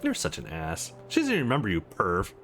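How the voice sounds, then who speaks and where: A man's voice: on the low side, wildly swooping pitch, fairly quick, fairly bright tone, faint music behind, voice pushed down, exaggerated voice. A man voicing an exasperated sister from a visual novel, bluntly teasing her brother.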